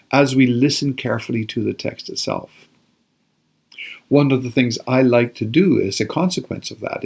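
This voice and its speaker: Older male lecturer, gravelly voice, reflective and self-correcting. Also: mid-range voice, darkish tone. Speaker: biblical studies scholar. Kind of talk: informal lecture.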